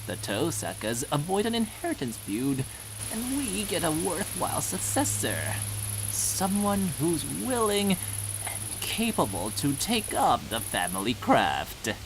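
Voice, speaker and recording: A low-voiced, wicked old family patriarch, sneering through every phrase. The delivery is slow and theatrical, swinging wildly in pitch. It is cartoon villainy with sarcasm dripping from it, over music. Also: female voice, sing-song, background noise, exaggerated voice. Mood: smug. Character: gleefully cruel, mocking elderly family patriarch. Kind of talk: comic anime parody acting. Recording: music behind the voice.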